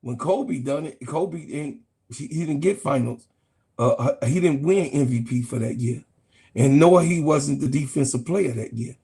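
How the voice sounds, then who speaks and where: Husky high-voiced man ranting. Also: moderate pace, mellow. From Chicago, USA. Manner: profane, repetitive, argumentative. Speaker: sports channel host. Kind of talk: heated casual sports rant.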